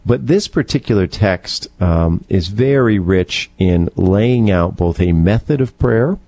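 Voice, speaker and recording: A man co-hosting a conversational book discussion, explaining earnestly in a halting, deliberate way. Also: deep voice, expressive, dark tone, no room sound. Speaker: co-host presenting book recommendations.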